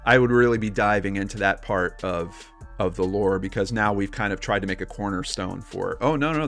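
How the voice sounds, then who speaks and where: Low, creaky-voiced man chatting. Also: a bit bright. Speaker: podcast co-host. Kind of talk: casual discussion.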